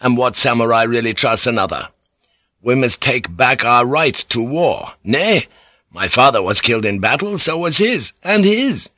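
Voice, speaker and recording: A man's voice: mid-range voice, expressive, normal speed, sharp tone, dry recording, studio quality. A man voicing a defiant village headman and old fighter in an audiobook, emphatic, persuasive and earthy. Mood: rousing, defiant.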